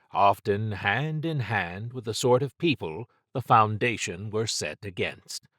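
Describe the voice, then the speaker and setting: Low-voiced man narrating fiction, dry close sound. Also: fairly bright tone, no echo. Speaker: audiobook narrator.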